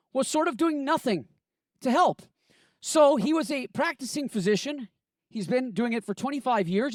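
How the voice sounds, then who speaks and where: A man working as event emcee, introducing the next speaker with energetic hype. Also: very high-pitched, no echo.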